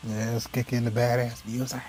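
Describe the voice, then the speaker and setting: A male gamer with a mid-range voice reacts casually to gameplay. He is excited and slangy, and clear game music plays behind him. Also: mid-range voice, fairly quick.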